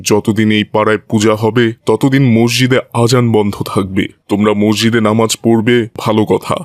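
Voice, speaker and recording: A man's voice: lowish voice, flat delivery, moderate pace, no room sound, studio quality, close to an everyday voice. A man playing a hostile, bullying policeman in a cartoon, stern and authoritarian. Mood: commanding. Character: bullying, hostile policeman who scolds and orders people around. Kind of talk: dubbed cartoon dialogue.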